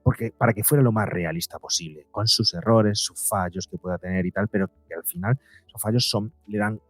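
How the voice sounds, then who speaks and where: A man's voice: on the low side, fairly quick, dry recording, soft background music. A man answers as an interview guest, explaining conversationally, often repeating and rephrasing as he goes. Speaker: podcast guest, psychologist and documentary creator.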